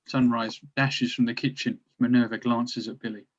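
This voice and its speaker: A man's voice: medium pitch, flat delivery, moderate pace, highs cut off. A man narrating stage directions from a script at a drama table read.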